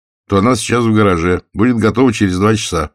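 A man's voice: lowish voice, quick pace, no room sound, studio quality, voice pushed up, natural voice. A man voicing a blunt, good-natured male army correspondent who grumbles and teases, in an audiobook. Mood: matter-of-fact. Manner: blunt, colloquial, teasing grumble.

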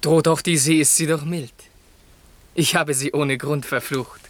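A man's voice: fairly high pitch, steady tone, a little slow, dry recording, close to an everyday voice. A man playing an earnest young prince in love, speaking lyrical verse with reverence in a classic radio play. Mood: tender, reverent.

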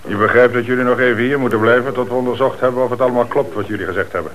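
A man's voice: medium pitch, steady tone, racing, a bit bright, lightly acted. A man acting in a radio play as a stern, dry, businesslike police commissioner, speaking with insistent authority. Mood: firm. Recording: hissy old radio play recording.

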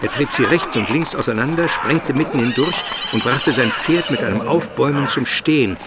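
A man playing a grave Byzantine minister who recounts his escape in a measured, solemn radio drama narration. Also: medium pitch, heavy background noise, natural voice. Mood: stirred, dramatic.

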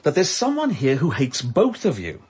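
An actor playing a formal, duty-bound police inspector in a radio drama. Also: male voice, fairly high pitch, huge pitch swings, moderate pace, a little mellow, husky, exaggerated voice. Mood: stern. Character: dutiful police inspector, stern and official. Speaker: Inspector Leach. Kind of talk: BBC radio drama acting.

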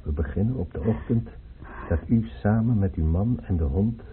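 An actor playing a mysterious male healer, measured, controlled and insistent, in a radio drama. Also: fairly low pitch, natural voice. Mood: calm, insistent. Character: mysterious calm male healer guiding her back in time. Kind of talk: Dutch radio drama acting. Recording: noisy phone-like old radio recording.

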